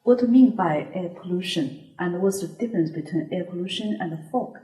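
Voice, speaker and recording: A woman leads a scripted student discussion, asking measured questions of the group. Her voice sits a little low and mellow. The room around her echoes noticeably. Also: animated.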